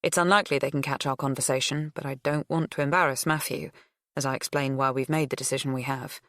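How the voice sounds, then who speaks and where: A woman's voice: rumbling low, fairly even intonation, quick pace, a bit bright, dry recording, clean studio sound. A woman narrating first-person fiction as a scripted audiobook reading.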